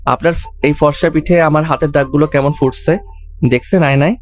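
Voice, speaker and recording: Man acting a cheeky romantic young groom, higher-pitched. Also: steady tone, fairly quick, faint music behind, close to an everyday voice. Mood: intense, romantic.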